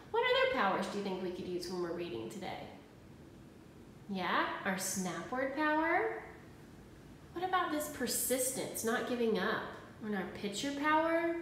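A woman in her thirties, a kindergarten teacher giving an encouraging read-aloud lesson to young children, pausing after questions. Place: indoors at home, small room. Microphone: phone at arm's length. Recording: roomy echo, faint noise and rumble.

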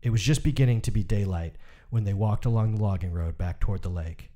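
A man's voice: on the low side, fast talker, mellow. A man reading a short story aloud as literary narration for a podcast. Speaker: podcast co-host and story reader.

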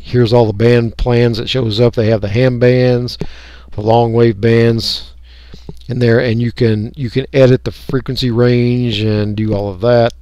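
A man's voice: mid-range voice, flat delivery, unhurried, mellow, dry recording, muffled recording. Folksy American man, casual tutorial. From United States. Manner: folksy, rambling, thinking aloud. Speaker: radio hobbyist giving a software tutorial. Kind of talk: casual unscripted screen-recording walkthrough.

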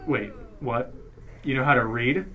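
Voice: medium pitch, measured pace, fairly bright tone, a little hiss or hum, lightly acted, rough recording. An actor playing an exasperated, nagging spaceship sidekick, scolding and incredulous, in a comic radio play.

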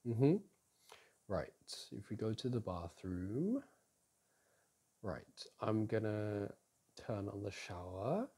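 A young man speaks close to the mic in a soft, teasing boyfriend roleplay. His voice is gravelly, husky and warm, and he talks in an unhurried drawl. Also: lowish voice, animated. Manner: soft and teasing, with frequent sighs and chuckles. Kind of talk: intimate ASMR roleplay, speaking to an unseen partner. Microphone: close microphone.

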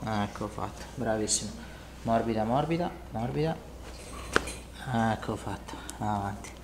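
A middle-aged man working as a chiropractor, talking calmly to a client in short, reassuring instructions and questions. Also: lowish voice, a little slow. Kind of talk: calm talk to a client during treatment. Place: indoor home-like treatment studio. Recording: roomy echo, background noise.

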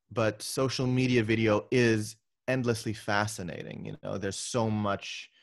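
A young man from the Philippines speaks in a fairly low voice, chatting loosely with plenty of ums and restarts. He sounds relaxed and open as he answers interview questions. He is recorded over a video call from his bedroom. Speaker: guest filmmaker. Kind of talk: casual interview conversation. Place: bedroom at home.

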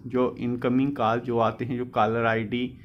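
Male product reviewer, average pitch, explains and rephrases, dull phone-mic audio. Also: little pitch movement, measured pace, a little hiss or hum. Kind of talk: informal product review narration.

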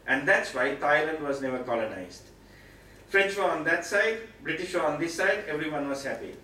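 A man's voice: on the high side, fairly bright tone. A middle-aged man from Sri Lanka with a Sri Lankan accent, giving an explanatory Bible teaching lecture in an emphatic way, repeating key phrases. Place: meeting room with seated audience, indoors. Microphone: far camera, speaker at a mic stand. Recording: very echoey room, distant pickup, noisy.

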